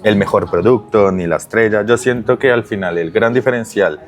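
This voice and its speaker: A man in his thirties from Colombia with a strong Colombian accent, chatting casually as an interview guest, with many pauses and restarts. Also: fairly low pitch. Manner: hesitant, many fillers and restarts. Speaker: guest, digital transformation consultant.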